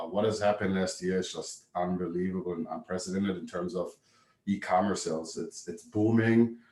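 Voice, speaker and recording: A man with a German accent, giving a brisk, matter-of-fact business presentation on a video call. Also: low-pitched, mellow. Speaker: webinar presenter. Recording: echoey room, distant mic.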